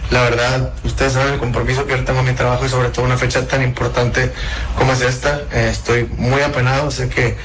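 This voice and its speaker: A man giving a halting, apologetic statement to his fans, with many pauses and fillers, played back as a clip. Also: medium pitch, level tone, fairly bright tone, slight background noise, poor audio. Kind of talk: recorded public apology.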